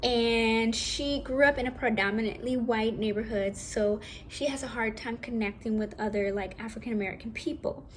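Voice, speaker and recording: Young woman, high natural voice, rambling casual vlog chat, faint room hiss. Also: normal speed, hardly any pauses. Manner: chatty, rambling, self-correcting, casual. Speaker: vlogger. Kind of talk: casual acting-tips vlog. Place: at home.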